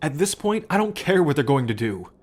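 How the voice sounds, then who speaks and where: A man's voice: on the high side, very animated, a little fast, darkish tone. A man acting a remorseful, affectionate brother in an ASMR roleplay, with a hesitant, heartfelt delivery. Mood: determined, affectionate.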